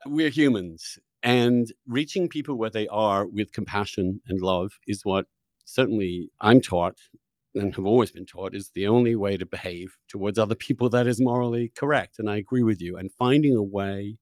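Male podcast host, fairly low voice, very dry close recording, reflective and emphatic in discussion. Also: normal speed, darkish tone, no room sound. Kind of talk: conversational interview and debate.

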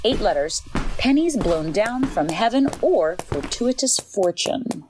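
A woman playing an excitable, superstitious and insistent woman in a two-person audio comedy play. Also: mid-range voice, a little slow, background noise. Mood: eager, insistent. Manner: insistent, dramatic, pleading. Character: excitable superstitious woman convinced her crossword predicts the future.

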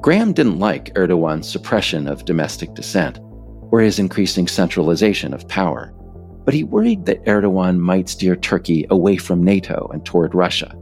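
An American man reading scripted political nonfiction as author and narrator, in a measured, emphatic way. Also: low-pitched, big pitch swings, a little mellow, faint music behind.

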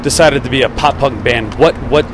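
A man's voice: medium pitch, a little fast, noisy background. A man of thirty hosting a podcast, asking questions in a loose, chatty, joking way. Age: 30s. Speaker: podcast host. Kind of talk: casual interview chat.

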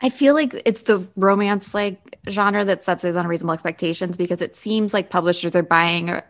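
A woman's voice: lowish voice, brisk. A woman co-hosting a podcast, giving her opinions in a casual, conversational discussion style. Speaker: podcast co-host.

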